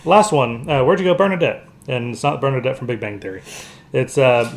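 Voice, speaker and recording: A man co-hosting a show, casually discussing movies in a halting, hedging way as he explains things. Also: mid-range voice, creaky. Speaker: podcast co-host.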